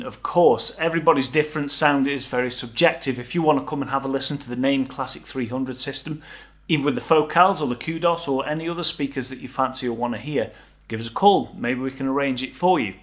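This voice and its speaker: A man's voice: mid-range voice, fairly bright tone. A man presenting a product overview, moving between scripted technical narration and informal, understated personal opinion. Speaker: hi-fi shop presenter and reviewer.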